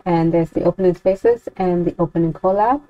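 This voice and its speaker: A woman giving an unscripted software walkthrough as a tutorial presenter, informally thinking aloud with some hesitation. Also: on the low side, fairly quick. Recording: dull, lo-fi, dry close recording.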